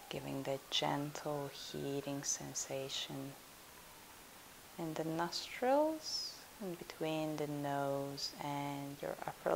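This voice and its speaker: A woman leading a guided yoga nidra meditation as a teacher, in a soothing, hushed, unhurried manner. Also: low voice, gravelly, rough recording. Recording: steady hiss.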